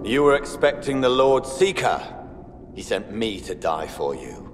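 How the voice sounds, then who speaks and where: An actor playing a fanatical, menacing knight captain in a fantasy game, ominous and theatrical. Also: male voice, lowish voice, very animated, unhurried. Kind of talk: dramatic fantasy game acting. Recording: long hall reverb, clear background noise.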